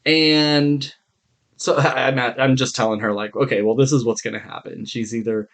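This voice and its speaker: A man's voice: medium pitch, fairly even intonation, moderate pace, a bit bright. A man co-hosting a podcast in casual chat, telling stories in an irreverent, digressive way with some swearing. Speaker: podcast co-host.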